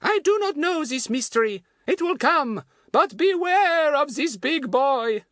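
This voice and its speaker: A man voicing a storybook schoolboy posing as a mysterious old Frenchwoman fortune-teller, slow and theatrical, with a French accent. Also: extremely high pitch, lively intonation, a bit bright, dry recording, clean studio sound, voice pushed up, full character voice. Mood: ominous.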